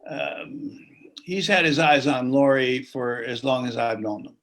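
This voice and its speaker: An actor playing a hesitant older man in a table read, earnest and halting as he gives a cautious warning. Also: mid-range voice, natural voice, rough recording. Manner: halting, hesitant, with ums and stammers.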